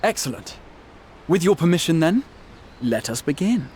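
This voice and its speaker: A man's voice: fairly high pitch, big pitch swings, normal speed, darkish tone, a little hiss or hum. An actor voicing a courteous, formal young male mage in a video game.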